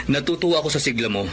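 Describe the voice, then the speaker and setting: A man's voice: a little high, sharp tone, slight background noise. A man with a Filipino accent playing a friendly, concerned older brother in a radio drama.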